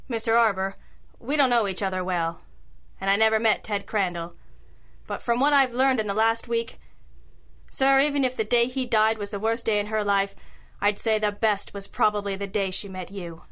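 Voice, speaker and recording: A woman playing a sharp, wry private detective in an old-school radio drama, crisp and matter-of-fact, with compassion underneath. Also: medium pitch, steady tone, moderate pace, close to an everyday voice. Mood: gentle, sincere.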